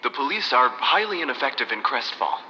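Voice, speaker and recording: A man's voice: medium pitch, sing-song, a little fast, pushed and bright, slight background noise. An actor playing a polite, concerned, hesitant male interviewer in a comic audio drama. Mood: concerned, puzzled. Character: polite, concerned man interviewing a trapped captive. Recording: muffled, long cavernous silo reverb.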